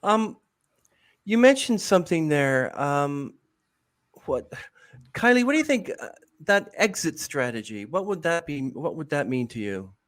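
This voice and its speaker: A man's voice: fairly high pitch, sing-song, a little slow. A man hosting a podcast, casually putting questions to the others in a conversational interview style. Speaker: podcast host.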